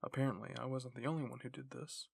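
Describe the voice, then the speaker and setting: A man's voice: medium pitch, fairly even intonation, fast talker, creaky, dry recording, studio quality. A man narrating a scripted first-person fan fiction story as an ASMR roleplay.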